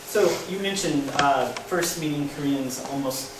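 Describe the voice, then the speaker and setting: A man moderating a question-and-answer session after a talk, speaking in a measured, polite way with some fillers. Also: a little high. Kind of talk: reading audience questions. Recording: echoey hall, mic far off, noisy.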